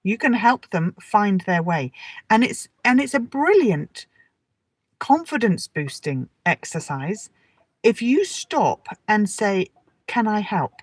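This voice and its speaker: A middle-aged woman with an English accent teaching in a relaxed, chatty and encouraging way, speaking directly to her listeners. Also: mid-range voice, slow pace. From England. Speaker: English teacher hosting a live lesson. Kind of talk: relaxed, chatty livestream talk with personal anecdotes. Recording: roomy echo, distant from the mic.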